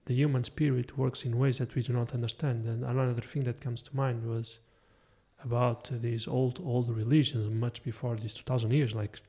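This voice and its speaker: Gravelly, mellow-voiced male podcast host. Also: medium pitch, flat delivery, normal speed. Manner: musing, rambling, self-correcting. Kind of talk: casual interview talk.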